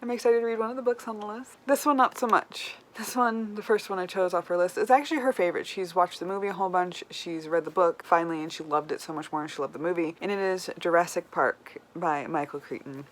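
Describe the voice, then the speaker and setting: A woman's voice: lowish voice, fast talker, few pauses, low-quality recording. A woman chatting casually as a book vlogger, with an informal, wryly self-deprecating manner. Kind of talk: casual chat to camera. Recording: clear background noise.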